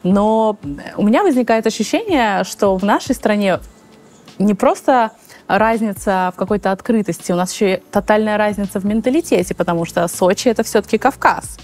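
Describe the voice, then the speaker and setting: A young woman hosting an interview, asking clear and measured questions. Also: mid-range voice, darkish tone, soft background music. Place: exhibition hall indoors. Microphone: lapel.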